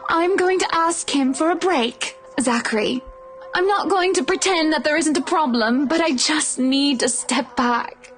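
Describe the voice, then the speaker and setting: A woman's voice: sits high, a little slow, a bit bright. An actress playing a poised, wry young married woman in a visual novel, politely spoken but ready to swear. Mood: composed, firm. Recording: music playing underneath. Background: game music.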